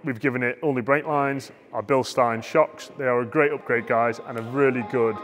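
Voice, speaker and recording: A man's voice: medium pitch, fairly even intonation, normal speed, a bit bright, faint music behind. A middle-aged man casually explaining vehicle parts as he walks around a workshop, on a lapel mic. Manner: chatty, explains parts hands-on. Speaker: workshop owner showing projects. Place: large vehicle workshop, indoors. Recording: long reverb of a big workshop.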